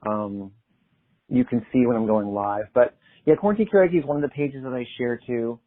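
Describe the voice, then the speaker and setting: Young male singer-songwriter guest, relaxed and chatty, laughs easily; narrow phone-like sound. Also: mid-range voice, normal speed. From Pennsylvania. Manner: easygoing, chatty, laughs often. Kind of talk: casual interview chat. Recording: tinny phone-like line.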